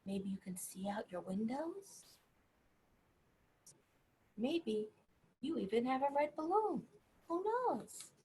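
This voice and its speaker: A woman reading a bedtime story to young children, gently encouraging them and pausing to ask questions. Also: sits high, very animated, a little hiss or hum, low-quality recording.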